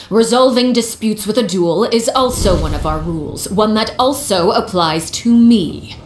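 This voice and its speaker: A woman voicing a cold, formal leader of a house, speaking in a measured, stern way. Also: medium pitch, roomy sound, a little hiss or hum, lightly acted. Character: cold commanding woman, head of a house, called Father. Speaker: stern matriarch and duelist. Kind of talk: game cutscene voice acting.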